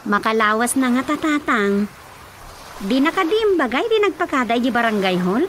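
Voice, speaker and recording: An actress playing a cheerful, dutiful grown daughter in a Filipino radio comedy drama, speaking expressively and affectionately with a Filipino accent. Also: female voice, a little high, sing-song, normal speed, a bit bright, a little hiss or hum. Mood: concerned. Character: Rosa, cheerful, dutiful grown daughter fussing over her father. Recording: radio drama with music behind.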